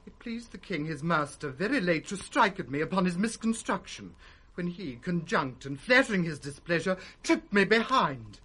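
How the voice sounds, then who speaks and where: An actor playing a cowardly, self-justifying steward in 1960s British radio Shakespeare, whining and aggrieved. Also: male voice, high-pitched, sing-song, moderate pace, pushed and bright, slightly rough, heavily acted.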